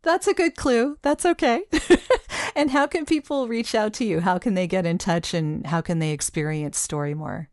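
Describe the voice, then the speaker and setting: A woman's voice: mid-range voice, moderate pace, dry recording, studio quality. A woman speaking as a podcast host in a conversational interview style.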